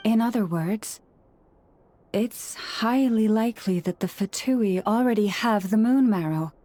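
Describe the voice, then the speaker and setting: A woman playing a gentle, solemn young leader of a sacred order in a video game, speaking in a measured, formal and earnest way. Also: medium pitch, a little hiss or hum, close to an everyday voice. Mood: grave, concerned. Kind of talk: video game character acting.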